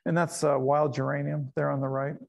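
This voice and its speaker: A man's voice: on the high side, level tone, brisk, gravelly. A middle-aged man who grew up in Panama, giving a casual slide talk about his nature photos, rambling with fillers, restarts and chuckles.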